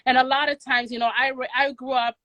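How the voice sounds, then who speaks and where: A woman's voice: high voice, level tone, quick pace, pushed and bright, no room sound, lo-fi sound. A woman from Ghana in her thirties, a guest on a casual interview, speaking earnestly and repeating key words for emphasis. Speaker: guest, mortgage agent and credit coach.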